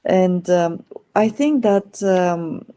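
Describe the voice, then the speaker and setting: A middle-aged woman, speaking in a lowish, mellow voice. She talks thoughtfully and often stops on "um" while she gathers her words. This is a relaxed interview chat. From Bulgaria. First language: Bulgarian. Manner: thoughtful, many filler pauses. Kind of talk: casual conversation.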